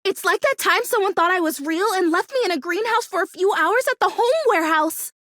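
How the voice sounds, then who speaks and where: High-pitched, anxious, hopeful plastic houseplant. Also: female voice, fairly quick, no echo, clean studio sound. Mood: excited, hopeful. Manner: earnest, hesitant, emotionally expressive, self-interrupting. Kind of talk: video game character acting.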